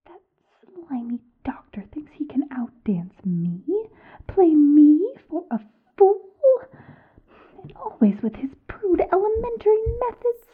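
Scheming audio drama villain, female, haughty, disdainful, theatrical, slow, wildly swinging pitch. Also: very animated, narrow, tinny audio. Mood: haughty contempt.

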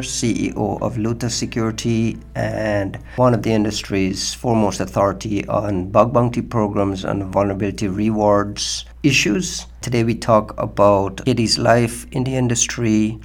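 A man's voice: lowish voice, creaky. A man hosting a podcast, introducing the show and putting conversational questions to his guest. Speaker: podcast host and interviewer.

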